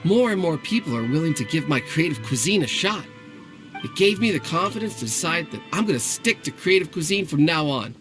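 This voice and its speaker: A man's voice: on the high side, very animated, brisk, music in the background. An actor playing a young food stall owner in a video game, friendly, earnest and eager to please as he explains things. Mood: pleased, eager.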